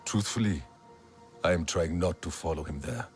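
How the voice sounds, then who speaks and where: An actor playing a grave, vengeful male alien warrior in a video game, speaking in a formal, solemn and deliberate way. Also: deep voice, lively intonation, faint music behind. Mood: brooding, resolute. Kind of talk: video game character acting.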